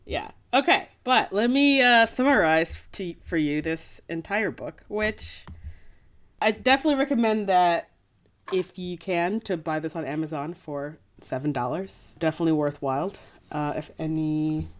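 A woman's voice: lowish voice, animated, moderate pace. A woman co-hosting a podcast in casual, playful, slangy chat, as if recapping a book for friends. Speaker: podcast co-host.

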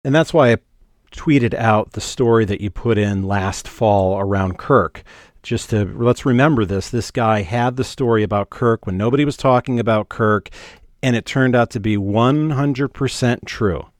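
A man's voice: fairly low pitch, moderate pace, no echo. Matter-of-fact man in a dry, close sound. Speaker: podcast co-host. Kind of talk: casual analytical talk about radio business.

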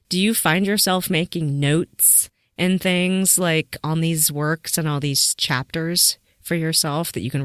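A woman's voice: on the low side, no room sound, studio quality. Informal, encouraging woman podcast host. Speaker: podcast interviewer. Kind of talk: casual interview chat.

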